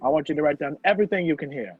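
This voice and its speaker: A man playing a determined, wrongly accused gentleman on the run in an amateur radio play, over a video call. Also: fairly high pitch, lively intonation, racing, slightly rough. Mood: urgent. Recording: dull, lo-fi video-call audio.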